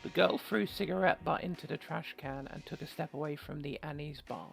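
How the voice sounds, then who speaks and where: Man, very creaky voice, slightly high, casual game streamer, wry asides, soft music behind. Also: a little fast. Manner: wry, rambling, joking asides. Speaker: gamer and streamer doing a let's play. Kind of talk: casual game commentary mixed with reading story text aloud.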